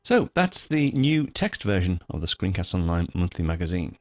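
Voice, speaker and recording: A man hosting a software tutorial, talking through each step in an informal, unscripted, explanatory way. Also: low voice, very animated, moderate pace, no echo, clean studio sound. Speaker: host of a software tutorial show. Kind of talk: screencast tutorial, unscripted walkthrough.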